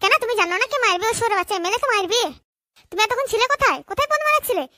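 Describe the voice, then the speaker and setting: An actor voicing a shrill, fed-up, scolding cartoon family member in a comic dub, nagging and accusing. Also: lively intonation, rapid-fire, sharp tone, no echo, clean studio sound, exaggerated voice. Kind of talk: comic dialect cartoon dubbing.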